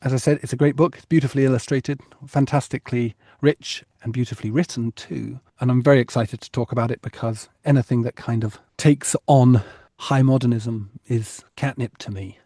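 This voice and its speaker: A man's voice: mid-range voice, steady tone, brisk, warm tone, dry recording, clean studio sound. A man hosting a podcast, speaking in articulate, formal phrases as he introduces and interviews.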